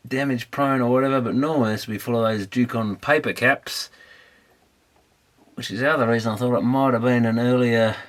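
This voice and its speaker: A man's voice: medium pitch, fairly even intonation, a little fast. A man thinking aloud in a casual, unscripted workbench commentary, muttering tentatively as he works. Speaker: amateur electronics repairer presenting. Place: repair workbench indoors.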